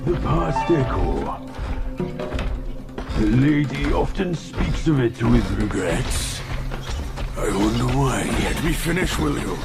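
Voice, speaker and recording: Creaky grumbling demon porter. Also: male voice, mid-range voice, lively intonation, takes it slowly, long pauses. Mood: gossipy. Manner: complaining, chattering. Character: grumbling, gossipy male demon servant porter. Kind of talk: animated fantasy game acting. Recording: loud music behind the voice.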